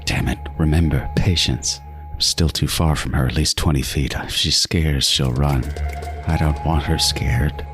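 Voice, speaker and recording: An actor playing an obsessive, meticulous serial killer, narrating a horror podcast in an intense, deliberate, breathy and at times hushed voice. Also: male voice, very deep voice, fairly even intonation. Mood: hungry, obsessive. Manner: intense, menacing, deliberate first-person narration. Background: music.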